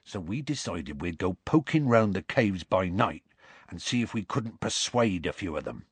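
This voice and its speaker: Huge gruff bloke for an audiobook, raspy, rambly, warm hearted, really animated. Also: male voice, deep voice, normal speed, dry recording, heavily acted. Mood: earnest explaining. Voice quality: gruff, growly. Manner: colloquial, rambling, dropped consonants. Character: big gruff rustic man, warm-hearted, indignant storyteller.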